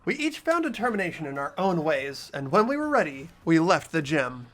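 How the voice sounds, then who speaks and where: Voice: medium pitch, sing-song, brisk, a bit bright, faint music behind. A performer voicing an earnest, hesitant teenage boy who narrates a video game story reflectively. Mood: brooding. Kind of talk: scripted game narration and dialogue, amateur voice acting.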